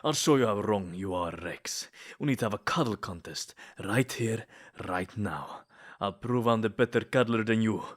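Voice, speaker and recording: A man's voice: fairly low pitch, moderate pace, natural voice. A man playing a smug, possessive, lovesick vampire in a comedic ASMR roleplay, theatrical and taunting one moment and sweet-talking the next. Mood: loud indignation.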